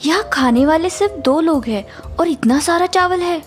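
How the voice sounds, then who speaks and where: A woman's voice: very high-pitched, expressive, normal speed, faint music behind. A woman voicing a sly, greedy housemaid who plays obedient, in a theatrical cartoon story manner. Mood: scheming. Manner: theatrical, deferential to employers, gloating when alone. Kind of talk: Hindi cartoon story voice acting.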